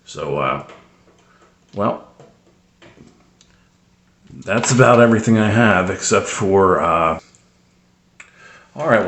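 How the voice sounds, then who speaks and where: Deep-voiced man in an echoey room. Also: fairly bright tone. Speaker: radio hobbyist presenter. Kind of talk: casual unscripted product tips vlog.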